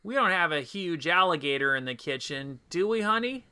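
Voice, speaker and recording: A man's voice: fairly high pitch, expressive, moderate pace, pushed and bright, smooth. A man playing a mild, naive, chatty husband in a comedic audio drama. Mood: puzzled, curious. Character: mild, oblivious husband, easily pleased, henpecked.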